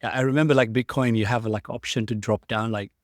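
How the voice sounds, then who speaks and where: A man in his late thirties from Sri Lanka, a tech founder telling stories as an interview guest, halting with many restarts. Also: mid-range voice, steady tone, no echo, clean studio sound. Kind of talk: casual interview storytelling.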